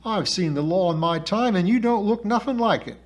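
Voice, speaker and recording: A man's voice: high-pitched, expressive, a little fast, voice pushed up. A man voicing a scrawny, brash twelve-year-old fake spirit girl with a whiny, hollow voice that drops its aitches, in a storybook reading. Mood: scornful. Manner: brash, spitting retorts, dropped aitches.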